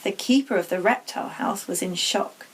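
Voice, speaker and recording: A woman's voice: medium pitch, normal speed, husky, low-quality recording. A woman narrating a children's storybook aloud as a teacher would to pupils.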